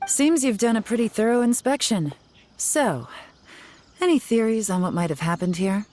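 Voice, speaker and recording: A woman's voice: a little high, lively intonation, a little mellow, close to an everyday voice. An actress playing a self-assured, teasing woman investigator in a video game, speaking in a composed, wry manner. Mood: probing. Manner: composed, wry, measured storytelling. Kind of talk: video game character dialogue. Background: music.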